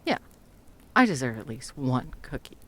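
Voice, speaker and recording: A woman's voice: extremely low pitch, sing-song, normal speed, slight background noise. A woman playing a frazzled, sarcastic, foul-mouthed Christmas delivery elf in a whimsical comedic ASMR roleplay, muttering and often dropping to whispers. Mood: self-justifying.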